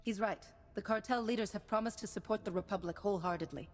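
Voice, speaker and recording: An actress playing a calm, principled government agent in a science-fiction video game, speaking in a measured, firm, diplomatic way. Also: female voice, medium pitch, lightly acted. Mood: firm, reasoning. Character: composed, principled female Republic agent, calm and confident. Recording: long hall reverb, music behind.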